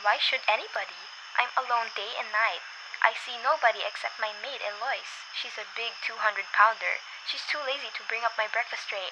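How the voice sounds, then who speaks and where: A woman's voice: fairly high pitch, noisy background, natural voice. A young woman playing an anxious, demanding bedridden wife in an amateur radio drama, fretful and insistent. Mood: dismissive, chatty. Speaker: student voice actor in a radio play.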